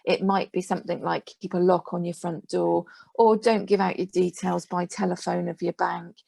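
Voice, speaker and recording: Creaky-voiced woman giving advice. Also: fairly low pitch, flat delivery, normal speed, warm tone, no room sound. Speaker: guest speaker, adult safeguarding professional. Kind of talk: webinar presentation, informal advisory talk.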